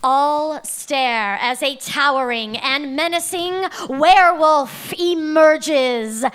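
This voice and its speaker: A woman's voice: high voice, takes it slowly, a bit bright. A woman reading stage directions as narrator in a dramatic live table read of a script.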